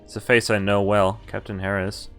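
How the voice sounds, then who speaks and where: A man's voice: lowish voice, flat delivery, a bit bright, faint music behind. A man streaming a game, reading visual novel text aloud and adding casual commentary. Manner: halting asides, casual swearing.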